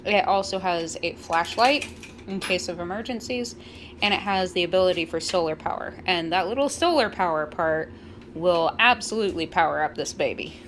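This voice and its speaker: A woman's voice: on the low side, moderate pace, fairly bright tone, slight background noise. An American woman co-hosting with casual, conversational explanation and a wry manner. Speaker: co-host.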